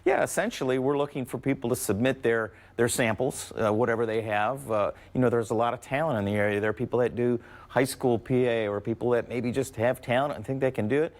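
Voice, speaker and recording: An American man speaks as an interview guest representing university athletics. He talks quickly, in a voice of medium pitch. His answers are conversational. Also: steady tone.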